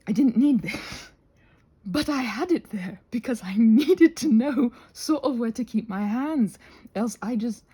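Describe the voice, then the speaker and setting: A woman hosting a rambling craft ASMR chat with a husky voice, in an expressive, tentative, self-deprecating way that meanders. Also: fairly high pitch, measured pace. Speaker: channel host.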